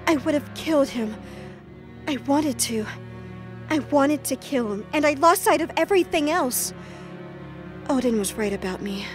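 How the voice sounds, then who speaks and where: Woman as a high-voiced, troubled goddess. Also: expressive, normal speed, pauses often, faint music behind. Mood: bitter guilt. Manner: intense, emotional. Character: troubled, guilt-ridden warrior goddess.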